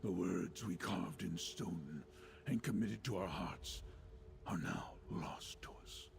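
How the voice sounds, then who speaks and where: An actor playing a solemn, ancient male lore keeper in a fantasy game, speaking formally and portentously with a gravelly, breathy voice. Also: deep voice, exaggerated voice. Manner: measured, portentous, formal storytelling. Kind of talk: fantasy video game voice acting. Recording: faint background noise, game ambience.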